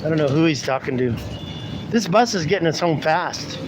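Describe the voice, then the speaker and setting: A man's voice: on the high side, animated, normal speed. A man chatting casually to his viewers on a live stream while riding a city bus, rambling as he goes and talking through a pulled-down mask. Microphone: handheld camera mic, speaking through a pulled-down mask. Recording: bus noise behind. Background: bus engine and traffic.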